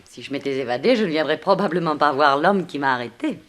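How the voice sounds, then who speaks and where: A woman's voice: low-pitched, lively intonation, fast talker, a bit bright. An actress in an early 1960s French radio play, voicing a seductive, teasing, coolly persuasive woman thief.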